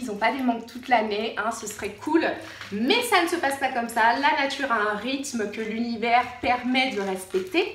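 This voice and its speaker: French woman vlogging in an echoey room. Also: mid-range voice. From France. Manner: informal, repeats words for emphasis. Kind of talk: casual chatty show-and-tell vlog. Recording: roomy echo, distant mic, faint noise.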